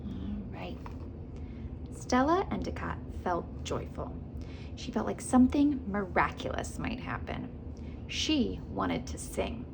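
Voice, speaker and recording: A woman reads a children's story aloud in a lively, swooping voice with some gravelly creak. She is expressive, acting out characters and adding asides. There is noticeable noise in the recording. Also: mid-range voice, slow pace. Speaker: host of a children's read-aloud.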